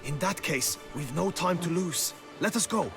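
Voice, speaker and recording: An actor playing an earnest, courtly knight in a video game, with formal, old-fashioned diction. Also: male voice, high-pitched, lively intonation, moderate pace, over music.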